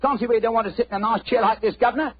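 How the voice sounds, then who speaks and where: An actor playing a cheery, pushy furniture remover with a Cockney accent and husky voice, in 1940s radio style. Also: male voice, very high voice, fairly even intonation, racing, dry recording. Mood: breezy. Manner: chummy, coaxing, rhyming slang. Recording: muffled old radio recording.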